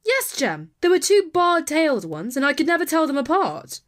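An actress playing a brisk, slightly huffy sister who keeps geese, in a dramatic audiobook reading. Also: female voice, high-pitched, big pitch swings, fairly bright tone. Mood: matter-of-fact.

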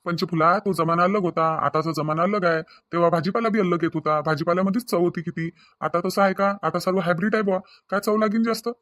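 A woman's voice: fairly low pitch, quick pace, fairly bright tone, no room sound, studio quality, natural voice. A woman voicing a placid older village father-in-law in a cartoon comedy, conciliatory and matter-of-fact, with a Varhadi Marathi accent. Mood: reasoning. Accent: Varhadi Marathi from Vidarbha. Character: placid older father-in-law, mild peacemaking rural husband.

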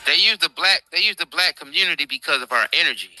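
A man's voice: on the high side, expressive, fast, pushed and bright, low-quality recording. An American man hosting an impassioned livestream commentary in emphatic, repetitive, informal slang, close on the mic. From United States. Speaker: show host. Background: faint background music.

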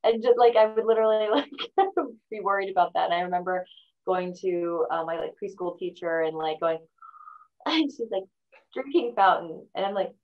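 A woman's voice: lowish voice, normal speed, highs cut off. A young adult woman, Mandarin her first language, talking thoughtfully in a casual interview and laughing often. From born in China, raised in the United States. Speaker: guest.

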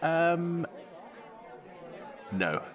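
A man's voice: a little high, sing-song, extremely slow, some chatter behind, exaggerated voice. A man playing a nonchalant, evasive Tudor court composer in a comic audio drama, flippant, airy and mock-earnest.

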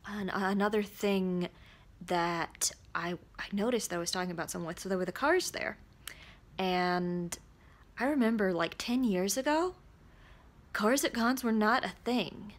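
A young woman with a creaky voice, chatting casually and candidly to camera in a rambling vlog, recorded at arm's length in a small room. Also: medium pitch, a little slow. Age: young adult. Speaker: vlogger. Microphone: phone or camera at arm's length.

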